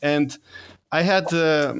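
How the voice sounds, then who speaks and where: A man's voice: a little high, slow, fairly bright tone, smooth. Lithuanian man, hesitant nonnative English. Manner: hesitant, many fillers and restarts, nonnative phrasing. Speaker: guest, virtual summit organizer. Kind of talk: casual interview talk.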